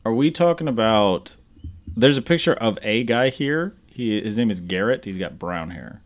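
A man of about thirty with a lowish voice talks as a podcast co-host. He rambles casually, like he is telling stories to a friend, and swears freely as he goes. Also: expressive, normal speed. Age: around 30. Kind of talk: casual chat between friends.

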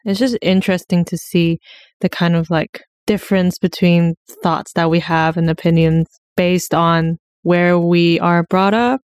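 A woman's voice: fairly low pitch, little pitch movement, measured pace, a little mellow, no room sound, clean studio sound. A young woman from mainland China chatting casually, hesitant and full of fillers. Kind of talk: casual chat.

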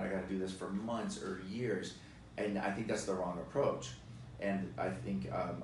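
Deep-voiced man in an echoey room. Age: 40s. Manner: energetic, emphatic, many fillers. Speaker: fitness guest expert. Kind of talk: casual podcast chat. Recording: echoey room, distant mic, faint noise.

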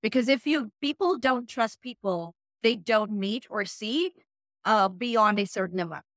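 A woman from Pakistan whose first language is not English talks in a frank, emphatic way as an interview guest. Her pitch sits in the middle of the female range. The sound is dry and close, with little room echo. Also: a little slow. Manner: frank, energetic, emphatic advice. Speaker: guest, freelance writer. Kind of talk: casual interview talk.

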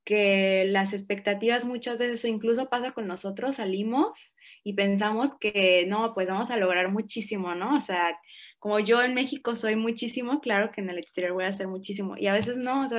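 A young woman with a Mexican accent talks through her experiences in a relaxed, reflective way. Her voice sits at an ordinary middle pitch, and she leans on small fillers as she thinks aloud. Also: fairly quick. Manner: relaxed, reflective, frequent fillers like "o sea" and "como". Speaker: interview guest. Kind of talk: casual interview answers.

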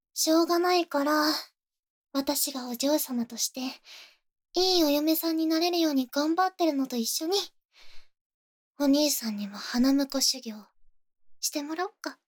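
High-voiced, possessive little rich girl. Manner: cutesy, coaxing, childlike phrasing, breaking into whispers. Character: possessive yandere young rich girl, sweet yet menacing. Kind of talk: Japanese ASMR situation voice drama.

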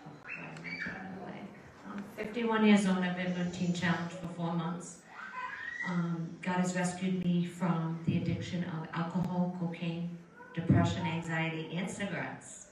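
A woman's voice: fairly low pitch, unhurried. Earnest woman in her fifties, echoey. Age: 50s. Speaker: program resident giving testimony. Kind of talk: church testimony. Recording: distant mic, heavy room echo, background noise.

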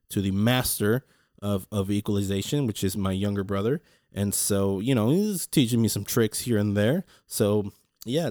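A man hosting his own podcast with a deep voice, talking earnestly in a rambling, reflective way, often repeating words and restarting his sentences. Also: darkish tone, dry recording, clean studio sound. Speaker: podcast host. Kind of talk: casual reflective monologue.

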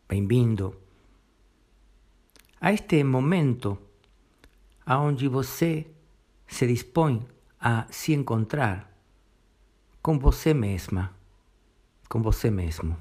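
A man leading a guided meditation, giving gentle step-by-step instructions in short phrases with long pauses between them. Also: medium pitch.

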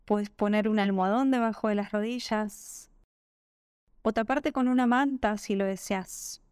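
A woman with a Rioplatense Spanish accent narrating a guided meditation in a gentle, calming, unhurried manner. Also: mid-range voice, smooth, no echo, studio quality. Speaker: yoga nidra meditation guide.

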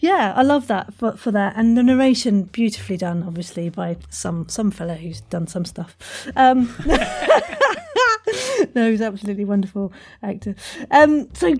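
A woman's voice: fairly high pitch, very animated, measured pace. A woman chatting casually and effusively as the host of an interview podcast. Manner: enthusiastic, effusive.

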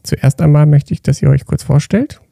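A man's voice: a little high, normal speed, gravelly, dark tone, no echo, studio quality. A man hosting a company podcast, chatting loosely with restarts while he introduces guests and asks questions. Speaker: podcast host.

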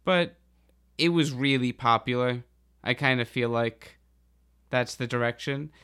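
A man chatting casually as a podcast host, with a halting, slangy delivery. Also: mid-range voice, fairly bright tone, clear, resonant tone. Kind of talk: casual chat about a TV show.

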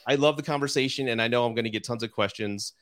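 A man's voice: medium pitch, fast, a bit bright. A man in his forties hosting a live podcast interview, chatty and easygoing, laughing often and repeating himself as he talks. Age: 40s. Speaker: podcast host. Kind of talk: casual live-stream interview.

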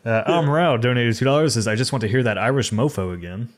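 A man's voice: mid-range voice, fast talker, a crowd in the background. A man co-hosting a casual film podcast, ranting with exasperation and trailing off between thoughts. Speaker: podcast co-host reading super chats. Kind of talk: casual chat.

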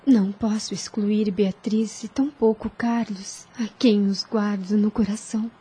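An actress playing a devout, gentle young woman about to become a nun in a radio drama, speaking softly and earnestly. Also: mid-range voice, fairly even intonation, unhurried, slightly rough.

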